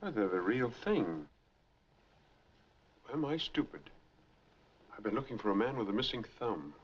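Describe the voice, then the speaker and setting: A man's voice: fairly low pitch, sing-song, measured pace, slightly rough, full character voice. A man acting in a 1940s film noir, playing a weary, dogged man on the run. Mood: suspicious, urgent. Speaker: lead actor in a mystery film. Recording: muffled 1940s film soundtrack, music behind.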